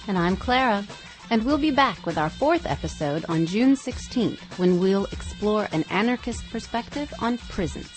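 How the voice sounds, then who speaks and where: A woman's voice: medium pitch, expressive, moderate pace, faint music behind, poor audio. A woman co-hosting a podcast and reading scripted news items. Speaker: podcast co-host.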